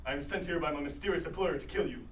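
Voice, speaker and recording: A man's voice: fairly high pitch, fast talker, fairly bright tone, natural voice. A man in a staged play reading playing an earnest, melodramatic hired assassin who declaims his lines. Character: melodramatic monologuing hired assassin, obsessed with cartoon ponies. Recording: distant mic in echoey room, noisy.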